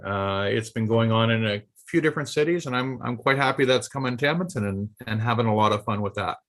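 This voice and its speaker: A man's voice: medium pitch, fast talker, a bit bright. A man from Canada chatting casually and explaining things informally on a video call. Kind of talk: casual chat.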